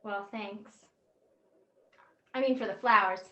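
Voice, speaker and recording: A popular girl, soft, little teasy, sharp bright voice, roomy muffled zoom sound. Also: mid-range voice, takes it slowly, a little hiss or hum. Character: popular young woman, touched and teasing. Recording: echoey, dull video call.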